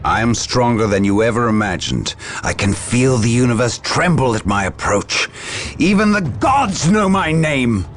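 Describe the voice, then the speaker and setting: A man's voice: medium pitch, animated, normal speed, lightly acted. A man playing a grandiose, power-hungry traitor warrior in a video game, with theatrical delivery. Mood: boastful menace. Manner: theatrical, swinging from menace to outrage. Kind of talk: dramatic video game villain acting.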